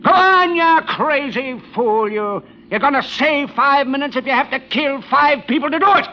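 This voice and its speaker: Gabby backwoods American filling-station man, high voice, clipped folksy banter, muffled old radio recording. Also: moderate pace. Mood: excited, scornful. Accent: rural American. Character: chatty, folksy, nosy country gas station man. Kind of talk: old-time radio character acting.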